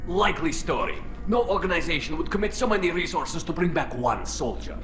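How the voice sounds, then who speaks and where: A man's voice: a little high, animated, brisk, roomy sound, over music, close to an everyday voice. A man playing a suspicious, hot-headed alien soldier in a video game, curt and distrustful. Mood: suspicious, scornful. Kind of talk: video game cutscene acting.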